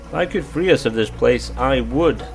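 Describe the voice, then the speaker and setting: An actor in an audio drama playing an ancient, weary, haughty sea goddess disguised as a gentleman scientist, with pompous, old-fashioned formal diction. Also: medium pitch, expressive, normal speed, creaky, soft background music, rough recording. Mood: bitter, grieving.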